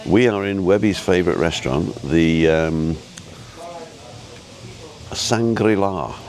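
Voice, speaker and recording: Deep voice guy doing a laid back live stream, chatty, stop-start, chatting to viewers. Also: a little mellow. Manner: easygoing, chatty, halting as he reads and answers viewer comments. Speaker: live-streaming vlogger and host. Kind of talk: casual rambling live vlog chat. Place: outdoors at a restaurant overlooking the road and ocean.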